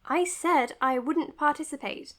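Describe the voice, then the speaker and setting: High-voiced, uptight young woman. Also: unhurried, fairly bright tone. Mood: reluctant, dry. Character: anxious, uptight young woman psychiatrist, protective friend. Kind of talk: audio drama acting.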